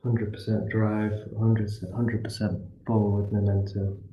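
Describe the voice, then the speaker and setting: A man leading a guided meditation as a class teacher, speaking soothingly in short phrases with pauses between them. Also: lowish voice, completely flat delivery. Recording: muffled echoey room, distant from mic.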